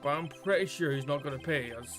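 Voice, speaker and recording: A man's voice: fairly high pitch, brisk, music in the background, close to an everyday voice. A man voicing a goofy, breezy young man, a blurting best friend in a visual novel. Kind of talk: visual novel read-aloud.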